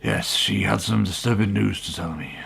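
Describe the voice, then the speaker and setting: An actor in a radio play as an earnest, middle-aged father and churchman, speaking gravely and formally of coming evil. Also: lowish voice, normal speed, a bit bright, full character voice. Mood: troubled, confiding.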